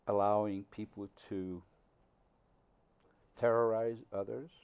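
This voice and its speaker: Older guy, low gravelly voice, super slow and halting, lots of uhs. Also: little pitch movement, dull audio. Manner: slow, halting, with many uh fillers. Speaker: interviewee. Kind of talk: reflective interview answer.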